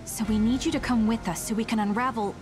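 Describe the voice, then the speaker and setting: An actress playing a resolute young soldier heroine in a video game, firm, direct and controlled. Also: female voice, fairly high pitch, steady tone, fast, over music, close to an everyday voice. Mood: matter-of-fact. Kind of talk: dramatic video game acting.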